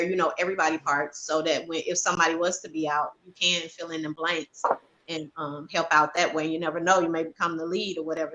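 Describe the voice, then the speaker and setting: A woman's voice: on the low side, bright, forceful tone, poor audio. A woman panel guest casually sharing practical tips in an informal, colloquial way. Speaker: guest, stage play writer-director.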